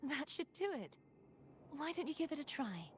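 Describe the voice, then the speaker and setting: A woman's voice: sits high, very animated, normal speed, slight background noise. A woman voicing a young, helpful and composed female guide in a video game. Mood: calm, helpful. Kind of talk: scripted video game dialogue. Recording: game audio under loud music. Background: loud game music.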